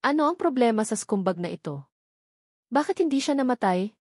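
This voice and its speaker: A woman's voice: a little high, big pitch swings, brisk, no room sound, clean studio sound. A woman voicing a cold, commanding female palace master in audiobook dialogue. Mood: cold, annoyed.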